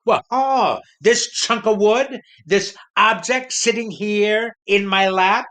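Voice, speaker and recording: Cranky old ventriloquist dummy, comic radio play, sharp forceful voice, gripes and repeats himself. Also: male voice, high-pitched, slow pace, smooth, heavily acted. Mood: indignant, griping. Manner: emphatic, griping, repeats himself. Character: cranky aging male ventriloquist dummy with grievances.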